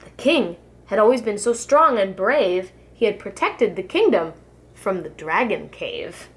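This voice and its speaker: A woman, a preschool teacher, reads a children's storybook aloud in an expressive, sing-song rhyming delivery. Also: a little high.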